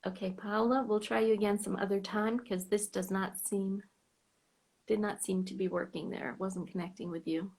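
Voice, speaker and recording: An American woman hosting a fan club live stream over a video call, chatting casually, sometimes trailing off into soft whispers. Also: medium pitch, steady tone, moderate pace, creaky.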